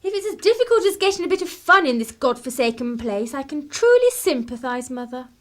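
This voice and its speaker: An actress voices a discontented young upper-class Englishwoman in a period radio play. Her voice sits fairly high and she speaks articulately, with a disdainful, sharp tongue. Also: sing-song, a little fast. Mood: irritated scorn. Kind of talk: radio play narration and acting.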